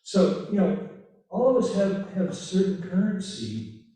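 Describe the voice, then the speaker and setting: A man's voice: sits high, sing-song, a little slow, dark tone. A man with a Dutch accent leading a church workshop in a hesitant, thinking-aloud way. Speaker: workshop leader. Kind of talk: conversational workshop talk. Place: church hall. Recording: echoey hall, mic far off.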